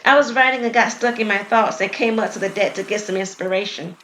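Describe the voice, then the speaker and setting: A woman's voice: medium pitch, fast, a bit bright, roomy sound, close to an everyday voice, poor audio. Woman doing a young poet girl in an audio drama, earnest n eager, bit of noise.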